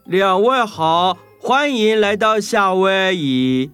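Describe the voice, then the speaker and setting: 一位男性在儿童故事里扮演一只开朗、爱唱歌的公海龟，说话响亮、拖长音、热情洋溢。. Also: male voice, high-pitched, lively intonation, smooth, full character voice. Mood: friendly greeting. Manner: loud, drawn-out, exuberant delivery. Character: cheerful male sea turtle, proud off-key singer. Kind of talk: children's audio story acting. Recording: music behind the voice.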